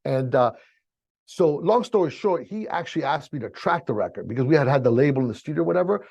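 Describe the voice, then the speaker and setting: A man's voice: fairly high pitch, expressive, fast, creaky, darkish tone, breathy edge. A middle-aged American man being interviewed, telling stories casually in slangy, informal talk on a slightly dull mic. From Miami, raised there, born in Chile. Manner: slangy, informal, frequent "you know" and "bro". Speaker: interview guest, music engineer. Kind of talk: casual storytelling.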